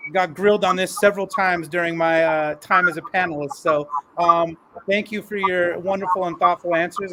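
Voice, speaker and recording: A man with a noticeably high voice hosts an educational panel. He talks conversationally, with an effusive, encouraging way of addressing his guests. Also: background noise. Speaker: podcast panel host and educator.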